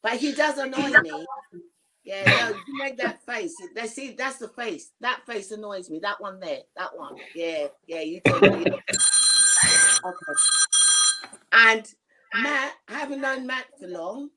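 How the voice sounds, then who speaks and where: A woman with a gruff voice chatting frankly with her family support team, often restarting her sentences. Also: a little high, unhurried, crowd noise, poor audio. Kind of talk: casual team chat.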